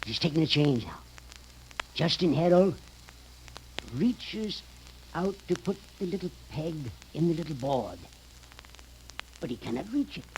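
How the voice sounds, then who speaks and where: A sinister, proud sorcerer-professor of old-time radio horror. He speaks very slowly and ominously, as if watching in a trance. The recording is an old, clearly noisy broadcast. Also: a little high, lively intonation. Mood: sinister, intent. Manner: slow, ominous, theatrical trance-like narrating. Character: sinister, proud male professor casting a deadly spell. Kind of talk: 1930s radio horror acting.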